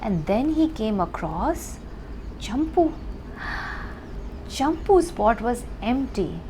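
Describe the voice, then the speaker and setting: Female storyteller for children's bedtime tales, slightly high voice, unhurried and emphatic, faint noise behind. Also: big pitch swings, mellow.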